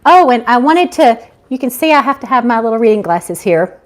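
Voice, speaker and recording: A middle-aged woman reads fiction aloud in an informal live setting. Her voice sits fairly high and moves with very lively intonation. The delivery is expressive and unpolished, that of an amateur rather than a trained narrator. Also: fairly quick. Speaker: author reading own novel aloud. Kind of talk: live amateur audiobook reading with casual asides.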